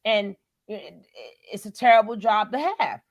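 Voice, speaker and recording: A woman's voice: mid-range voice, moderate pace. A woman in a casual podcast discussion who talks bluntly, repeats her points and swears casually. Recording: dull lo-fi sound.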